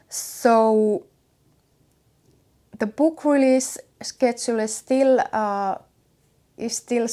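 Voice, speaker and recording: A woman with a Finnish accent chats casually to her viewers. Her voice sits a little high, and she speaks very slowly and hesitantly, pausing often as she searches for the next words. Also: a little mellow. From Finland. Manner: hesitant, halting with many pauses. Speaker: knitwear designer and vlog host.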